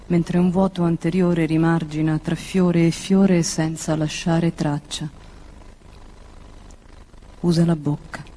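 A woman reads poetry quietly at a slow pace. Her delivery is restrained and flat in pitch, with faint ambient noise behind the voice. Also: poor audio. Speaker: poet reading own work.

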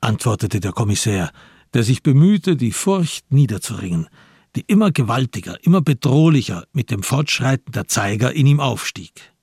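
A man with a husky, gravelly voice narrating a radio crime drama in a dramatic, scripted style. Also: medium pitch, big pitch swings, moderate pace, a little mellow, no room sound, clean studio sound.

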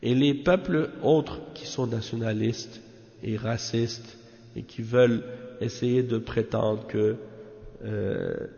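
Male religious teacher, creaky mid-pitched voice, didactic and repetitive delivery, heavy hall reverb. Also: slow, warm tone. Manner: didactic, repeats phrases for emphasis, rhetorical check-ins. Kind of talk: extemporaneous religious lesson explaining a commentary. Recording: muffled, long hall-like reverb.

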